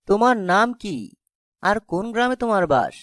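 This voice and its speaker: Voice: medium pitch, sing-song, moderate pace, a bit bright, no room sound, clean studio sound. An actor voicing a kind-hearted young village cowherd lad in a fairy-tale cartoon. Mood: concerned, kind.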